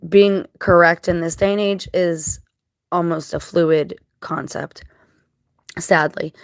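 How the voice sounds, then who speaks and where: A woman speaks in a fairly low, scratchy voice, a little dry-mouthed after crying. She talks casually and earnestly to her viewers, halting as she thinks things through. The sound is close and dry, with little room around it. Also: fairly even intonation, clean tone, no echo. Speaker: vlogger.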